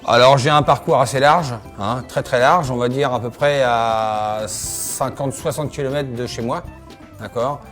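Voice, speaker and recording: A middle-aged man from Normandy, working as a farrier, answering interview questions outdoors at his horse yard in a steady way, often agreeing with "absolutely". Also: mid-range voice, a little slow, soft background music. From Normandy, France.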